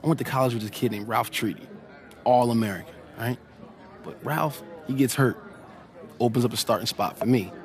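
Man playing an earnest, mid-pitched young basketball teammate. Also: a little fast, some chatter behind, natural voice. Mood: serious, reflective. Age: young adult. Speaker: basketball player. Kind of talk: naturalistic video game cutscene acting.

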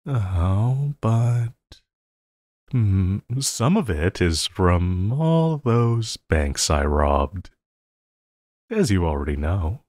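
A man playing an obsessive, lovesick supervillain in an intimate audio roleplay, halting and crooning, by turns sinister and pleading. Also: deep voice, big pitch swings, a little mellow, no room sound, clean studio sound, exaggerated voice. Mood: coy, amused. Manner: halting, crooning, breaking into laughter.

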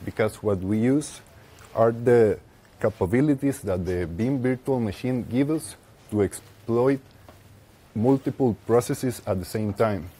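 A young man with a Costa Rican Spanish accent giving a technical presentation as a conference speaker, haltingly, with pauses mid-phrase. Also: mid-range voice, dark tone, slightly rough. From Costa Rica. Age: young adult. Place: lecture room with seated audience, indoors.

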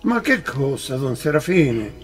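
A man's voice: medium pitch, sing-song, a little slow, breathy edge, soft background music. An actor playing a scolding, mocking immigrant man in a radio drama. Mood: mocking alarm.

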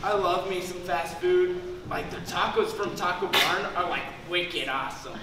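A man's voice: high voice, steady tone, moderate pace. A young man on stage playing a laid-back metalhead store worker with slangy slacker talk. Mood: casual, smug. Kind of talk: stage comedy acting. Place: school theater stage. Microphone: distant camera in the audience. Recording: echoey theater stage from far off, noisy.

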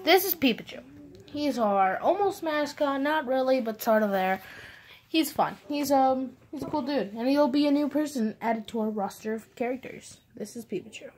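High-voiced teen girl telling stories. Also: animated, normal speed, a little mellow. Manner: rambling, self-mocking, giggly asides. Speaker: vlog host. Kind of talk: casual storytelling over drawings.

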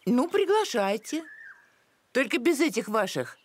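A wildly expressive cartoon nanny. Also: female voice, on the high side, background noise. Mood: coy, brisk. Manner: brisk, no-nonsense, expressive. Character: brisk, practical village nanny, adult woman.

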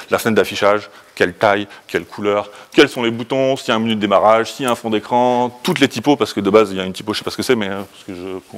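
A man, giving an informal technical talk as a conference speaker, in a casual, colloquial, hurried manner. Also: on the low side. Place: indoor presentation room, standing by a projection screen.

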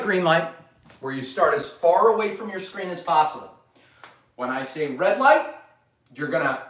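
A man in his thirties coaching a live online gym class for kids from his living room, calling out energetic commands and countdowns. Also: a little high. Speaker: fitness coach. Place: bright living room, indoors. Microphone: camera across the room. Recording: echoey room.

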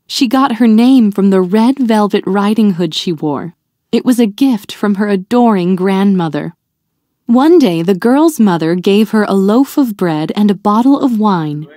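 A woman narrates a fairy tale for small children. She reads slowly and expressively, with very lively pitch. The sound is dry. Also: dry recording. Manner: deliberate, expressive reading for young children. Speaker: children's storyteller.

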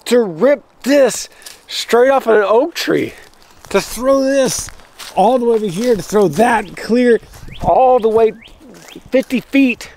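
A man filming a walking vlog outdoors in rural woods on a handheld camera, talking excitedly to viewers and breaking off mid-sentence. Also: extremely high pitch, slow, a little hiss or hum. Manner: excitable, breaks off and restarts, addresses viewers as guys. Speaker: vlogger showing storm damage. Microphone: handheld camera while walking.

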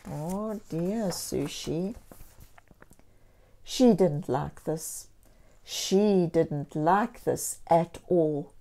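A woman storyteller reading a picture book aloud to young children, expressively and deliberately, with encouraging asides. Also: on the low side, creaky, mellow. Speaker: children's storyteller and channel host.

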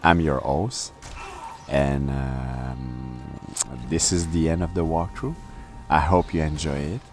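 A man's voice: extremely low pitch, steady tone, slow pace, faint music behind. A man hosting his game channel, giving a playful, self-mocking spoken review. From Canada. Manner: playful, self-mocking, with sudden exaggerated shouted bursts. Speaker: host of game walkthrough channel.

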